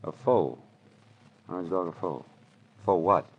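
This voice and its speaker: An actor with an American accent playing a wry, world-weary private detective in an old-time radio noir drama. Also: male voice, deep voice, lively intonation, unhurried, breathy edge, muffled recording, exaggerated voice. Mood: cool, probing. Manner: wry, hard-boiled. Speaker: actor playing detective and first-person narrator. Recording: vintage radio drama with music behind.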